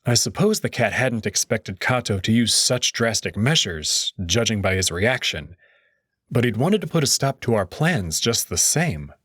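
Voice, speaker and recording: A man's voice: fairly low pitch, expressive, normal speed, a bit bright, breathy edge, no echo, studio quality. A man narrating a visual novel in the first person, reading it expressively and dramatically.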